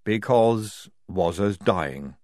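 A man's voice: on the low side, expressive, measured pace, dry recording, clean studio sound. A man voicing a weary, anxious young woman army medic in an audiobook.

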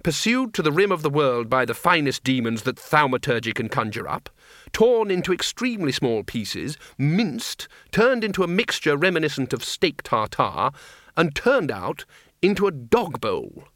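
A man voicing a bossy, exasperated older wizard chief in an audiobook, blustering and gruffly sarcastic. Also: high voice, sing-song, unhurried, fairly bright tone, dry recording, studio quality, voice pushed up, heavily acted. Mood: exasperated threatening.

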